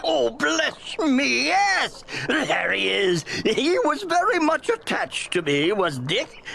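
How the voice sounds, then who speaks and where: An actor playing an old miser moved by fond memories in an audio drama, with a creaky voice and wistful exclamations. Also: male voice, very high-pitched, wildly swooping pitch, slow pace, sharp tone, a little hiss or hum, full character voice. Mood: wistful delight. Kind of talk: theatrical audio drama acting.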